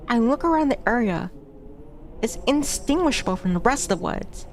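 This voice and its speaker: A woman's voice: fairly high pitch, expressive, brisk, slight background noise. Woman streamer reading a visual novel. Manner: casual, chatty asides. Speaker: VTuber streamer. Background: background music.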